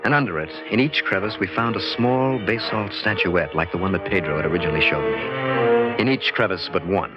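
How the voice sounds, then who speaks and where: An actor playing a level-headed, inquisitive explorer, narrating his discovery in a measured, dramatic old-time radio style. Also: sits low, expressive, highs cut off, over loud music. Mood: awed, grave. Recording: band-limited old radio recording.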